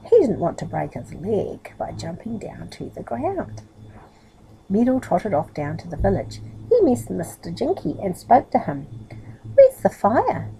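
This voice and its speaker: A woman's voice: medium pitch, very animated, a little slow, gravelly, mellow, slight background noise, poor audio. A woman reading a children's story aloud expressively, with chatty asides to a young listener. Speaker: storybook reader.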